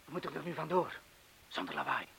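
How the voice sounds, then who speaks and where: An actor with a Flemish accent playing an anxious, protective man in a radio drama, speaking haltingly and urgently. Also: fairly high pitch, sing-song, vocal fry, bright, forceful tone, heavily acted. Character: anxious, protective man urging his lover to leave. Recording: hissy old radio play recording.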